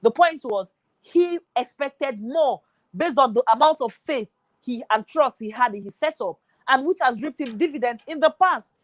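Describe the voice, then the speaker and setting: A woman's voice: high voice, lively intonation, moderate pace, no room sound, low-quality recording. A woman on a studio panel arguing her view of a football match emphatically. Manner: emphatic, argumentative. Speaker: football pundit.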